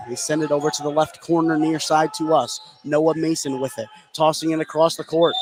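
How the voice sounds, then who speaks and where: A man's voice: a little high, background noise. A man doing live play-by-play commentary of a basketball game on the radio.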